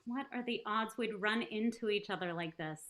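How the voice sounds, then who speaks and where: A woman's voice: medium pitch, moderate pace, a bit bright, natural voice. A woman acting a friendly, teasing career woman and old flame in a sitcom table read, casual and playful. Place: video call at a table read.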